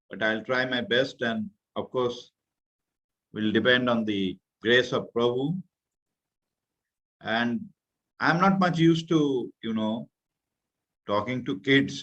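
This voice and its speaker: Indian man, middle-aged, halting and earnest speaker, mid-pitched voice, somewhat dull lo-fi sound. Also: a bit bright. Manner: earnest, halting, searching for words. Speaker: guest speaker. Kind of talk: religious talk to youth class. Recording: muffled, slightly lo-fi audio.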